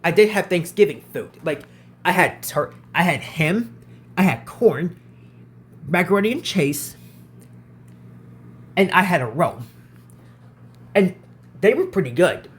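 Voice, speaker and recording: A man's voice: sits high, big pitch swings, unhurried, pauses often. A man in his twenties hosting a casual question-and-answer vlog, who often repeats himself and restarts his phrases. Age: 20s. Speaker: channel host answering viewer questions.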